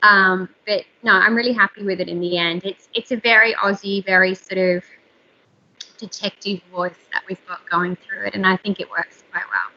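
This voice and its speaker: Woman, thirties, bright slightly squeaky voice, chatty and candid, dull video call sound. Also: steady tone, normal speed. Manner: chatty, candid, frequent fillers. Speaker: author and journalist. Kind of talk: casual interview chat. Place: home room.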